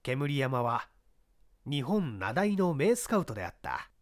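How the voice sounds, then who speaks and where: A man's voice: medium pitch, sing-song, measured pace, no room sound, clean studio sound. A man narrating a story as a scripted, dramatic audiobook reading.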